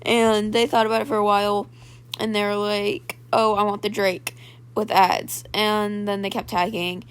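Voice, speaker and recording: A young woman with a raspy voice, chatting casually over gameplay footage and retelling trades with lots of "like" and "um". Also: mid-range voice, little pitch movement, moderate pace. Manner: chatty, filler-heavy storytelling, full of "like" and "um". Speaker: gaming video creator.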